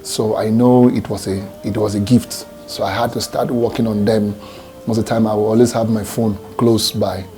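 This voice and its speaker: A man's voice: fairly low pitch, little pitch movement, normal speed, warm tone, soft background music. A Nigerian man, a gospel singer, answering interview questions in a reflective, hesitant way with many fillers and restarts.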